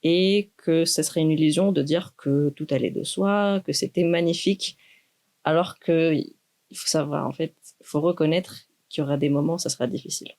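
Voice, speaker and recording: A woman's voice: sits low, steady tone, a little mellow. Hesitant young woman. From Greece. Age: 20s. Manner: measured, thoughtful, with frequent hesitations. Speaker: doctoral student guest. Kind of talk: university podcast interview.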